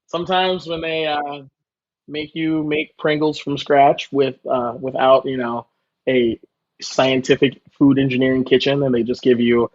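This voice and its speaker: A man's voice: mid-range voice, little pitch movement, measured pace, no echo, dull audio. A man chatting casually as a guest chef, with a joking, self-deprecating manner. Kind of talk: casual chat.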